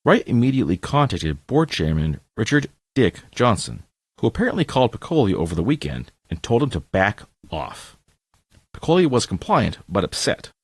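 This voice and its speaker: A man reading scripted nonfiction narration in a measured, formal, deliberate way. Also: lowish voice, very animated, slightly rough, no room sound. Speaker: audiobook-style podcast reader.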